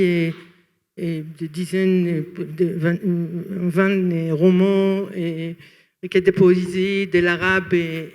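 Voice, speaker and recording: A woman from France and Israel asking a long, earnest question from the audience at a public talk, often pausing to search for words. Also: on the low side, darkish tone, big-room sound.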